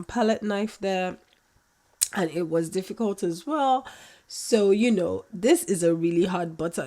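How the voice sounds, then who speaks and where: A woman's voice: mid-range voice, darkish tone. Chatty woman with a cold. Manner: chatty, rambling, self-correcting. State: has a cold. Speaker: skincare maker, channel host. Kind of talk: casual tutorial vlog.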